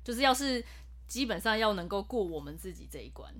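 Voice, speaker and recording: A woman's voice: mid-range voice, lively intonation, fairly quick, a bit bright. A woman, a professional voice actor, chatting earnestly on a podcast. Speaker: voice actor guest. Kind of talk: casual podcast chat.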